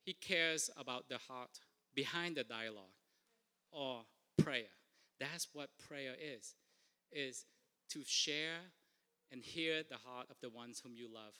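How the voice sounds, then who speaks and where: Bright-voiced pastor man, Vietnamese accent. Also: mid-range voice, slow pace, clear, resonant tone. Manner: earnest, repeats and restarts words. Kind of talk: sermon. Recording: long reverb of a large hall.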